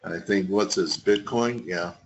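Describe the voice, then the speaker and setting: A man's voice: deep voice, measured pace, a little hiss or hum. A man hosting a casual market webinar, chatting in a complimentary, easygoing conversational way. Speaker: webinar host. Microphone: webinar call. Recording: muffled, band-limited webinar audio.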